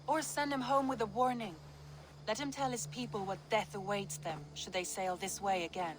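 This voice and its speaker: An actress playing a shrewd, level-headed woman advising warriors in a video game, measured and dry in her counsel. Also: mid-range voice, fairly even intonation, a little hiss or hum, natural voice, low-quality recording. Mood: firm counsel. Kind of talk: dramatic video game acting.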